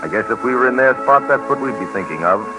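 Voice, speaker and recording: An American man narrating a 1950s radio documentary drama in an earnest, measured, rhetorical manner. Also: medium pitch, animated, natural voice. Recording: vintage radio recording, music.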